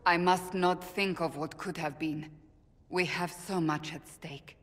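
A woman's voice: lowish voice, steady tone, unhurried, lightly acted. A woman playing a fierce, devout, hot-tempered warrior in a video game, speaking with formal intensity and blaming herself. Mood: regretful, bitter. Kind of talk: dramatic video game acting. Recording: long hall reverb.

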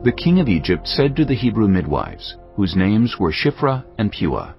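A deep-voiced man reads scripture in a measured, solemn delivery. His very low voice moves widely in pitch. Soft music plays under a slightly dull recording. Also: big pitch swings, gravelly. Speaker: narrator. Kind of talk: scripted Bible narration.

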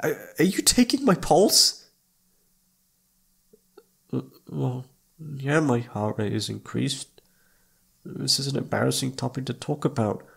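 Man playing a husky, stammering shy young incubus. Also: medium pitch, lively intonation, fairly bright tone, heavily acted. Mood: flustered, anxious. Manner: stammering, hesitant, halting. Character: shy, flustered young male incubus roommate confessing his crush. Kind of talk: ASMR romantic audio roleplay.

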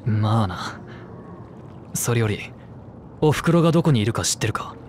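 A man's voice: lowish voice, animated, normal speed, darkish tone, a little hiss or hum, lightly acted. A man voicing a serious rabbit-eared wanderer in a video game, curt and insistent in manner. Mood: guarded. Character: terse, serious rabbit-eared male wanderer seeking his mother. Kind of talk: Japanese video game voice acting.

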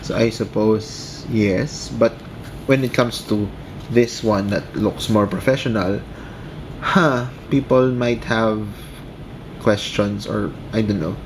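Male, Filipino accent, fairly low voice. Casual, halting commentary, clear background noise on the recording. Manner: hesitant, rambling, trailing off mid-thought. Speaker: amateur radio operator and video commentator.

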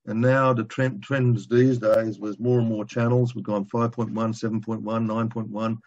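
A man in his sixties with a fairly low voice presents technical material informally from home. He talks in a colloquial, halting, conversational way. The sound is dull and lo-fi, as from a computer microphone on a video call. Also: little pitch movement, darkish tone. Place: home room, indoors.

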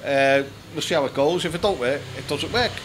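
A man's voice: fairly high pitch, brisk, fairly bright tone. A British man in his fifties from England, chatting as an interview guest, telling stories and explaining things casually and repeating himself a little. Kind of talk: casual conversational storytelling. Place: small decorated indoor room. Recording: noisy room with background hum.

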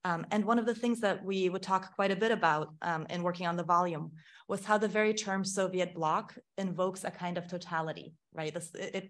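Woman from Ukraine lecturing on film. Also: lowish voice. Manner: thoughtful, frequent "um" and self-corrections. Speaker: film scholar giving a panel talk. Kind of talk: academic lecture. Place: lecture hall.

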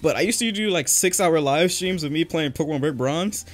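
A man's voice: on the high side, animated, fairly quick. A young man chatting casually with viewers while playing a game, joking and laughing, his voice a bit worn from talking for hours. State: voice getting worn from long talking. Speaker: game streamer. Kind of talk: casual live-stream chat while gaming.

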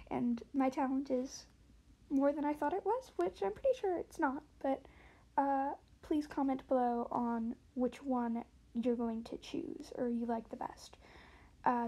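Amateur female voice actor, high voice, slow-paced casual intro, hesitant and self-deprecating. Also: dark tone.

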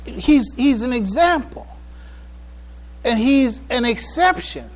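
A man giving a religious public address, halting and repeating phrases for emphasis. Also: extremely high pitch, expressive. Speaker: speaker addressing a mosque congregation.

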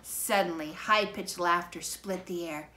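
A middle-aged woman narrating a children's book in a measured, dramatic way, recorded indoors by a camera a few feet away. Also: lowish voice, pushed and bright. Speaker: storybook reader. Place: living room, indoors. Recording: noisy living-room camera recording.

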